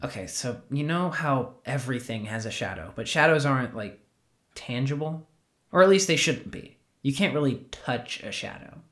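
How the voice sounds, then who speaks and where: A man playing an awkward, sheepish young retail worker in an audio drama, with a halting, casual, wry delivery. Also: mid-range voice, lively intonation, a bit bright. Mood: awkward, pleading. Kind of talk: naturalistic scripted audio drama dialogue.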